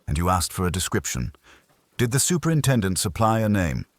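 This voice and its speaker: A man's voice: deep voice, sing-song, a little fast, no room sound, voice pushed up. A man voicing an imperious, brooding detective genius in an audiobook, formal, curt and given to pronouncements. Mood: displeased, measured. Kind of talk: audiobook character dialogue.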